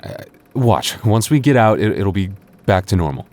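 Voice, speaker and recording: A man playing a devoted, earnest young boyfriend in an intimate audio roleplay, speaking haltingly with stammers. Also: fairly low pitch, sing-song, a little mellow. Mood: alarmed, confused. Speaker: voice actor.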